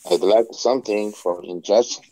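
A man with a Korean accent, a native Korean speaker, slowly repeating English phrasebook sentences on a phone call, with small slips. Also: fairly low pitch, warm tone. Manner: slow, careful repetition with small slips. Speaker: phone English practice partner. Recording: muffled phone line.

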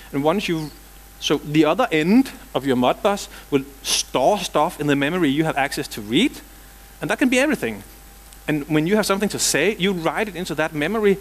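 A middle-aged man from Denmark with a Danish accent, giving a technical conference talk in a large hall with dry jokes and casual asides. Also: a little high. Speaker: conference speaker.

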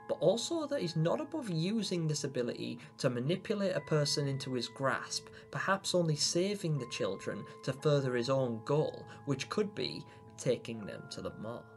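A man in his twenties hosting a scripted theory video, speaking in an expressive, theatrical storytelling way. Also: mid-range voice. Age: 20s. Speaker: video host. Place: small room at home, indoors.

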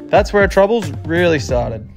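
A man's voice: a little high, animated, moderate pace, gravelly. A man narrating his travel vlog in a wry, upbeat voice-over. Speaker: travel vlogger. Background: music.

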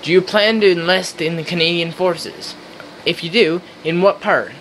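A man's voice: fairly high pitch, steady tone, moderate pace, fairly bright tone, slight background noise, natural voice, low-quality recording. A young man playing an earnest 1930s radio host, reading scripted interview questions in an amateur skit. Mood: questioning. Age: young adult.

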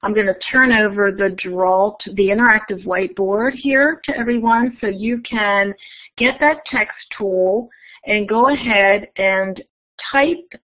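A woman giving a conference keynote as a webinar presentation, friendly and guiding the audience through an interactive activity. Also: mid-range voice. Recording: tinny phone-like webinar audio.

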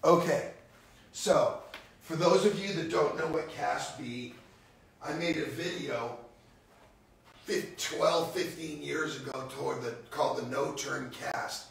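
A middle-aged man with a gravelly, raspy voice, a bit hoarse from a cold, giving a casual, blunt golf lesson on a livestream from an indoor simulator room. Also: on the high side, reverberant, a little hiss or hum, poor audio. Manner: blunt, casual, drawn-out emphasis. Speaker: golf instructor.

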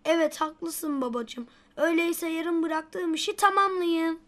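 A woman's voice: very high voice, steady tone, a little slow, very noisy. A woman voicing an eager, pious little boy in a children's cartoon, earnest and bubbly.

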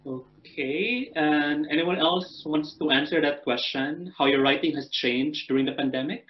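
A man hosting a poetry reading panel on a video call, asking questions gently with many pauses and fillers. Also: a little high. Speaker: panel host and anthology editor. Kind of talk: moderating a reading. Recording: muffled video call audio.

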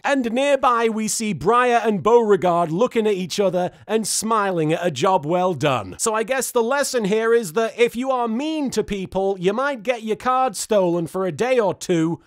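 A British man in his thirties giving a comedic, sarcastic and exasperated recap to camera as the host. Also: sits high. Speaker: host, episode reviewer.

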